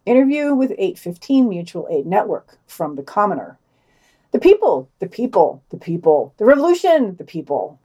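A woman's voice: medium pitch, sing-song, normal speed, dark tone, breathy edge. A woman reading a scripted podcast news digest, adding wry, sardonic commentary in a dry manner.

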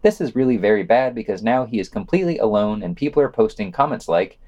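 Animated man reading podcast news. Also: lowish voice, fast talker, highs cut off. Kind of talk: scripted news reading with casual sardonic asides.

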